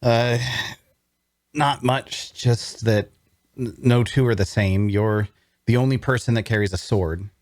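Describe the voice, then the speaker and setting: Man playing a wounded male prisoner, inquisitive, hesitant, very slow delivery, mid-pitched, dry sound, fantasy audio drama. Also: dry recording, natural voice. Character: curious wounded male captive, full of questions. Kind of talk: dramatic fantasy table read.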